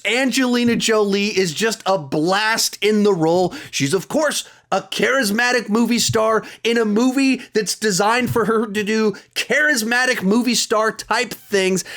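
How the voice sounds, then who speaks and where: A man in his thirties hosting a ranked movie review, talking in a lively way with sudden jumps in pitch for emphasis.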